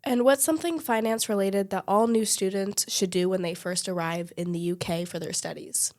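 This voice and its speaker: A young woman from the United States hosting a student podcast as a steady, friendly interviewer. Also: mid-range voice, moderate pace. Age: young adult. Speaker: podcast host.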